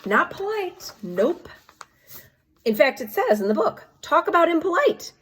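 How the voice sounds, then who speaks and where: Female storybook reader: high, very lively delivery, playful asides, dramatic. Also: a little slow. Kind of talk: animated read-aloud with asides.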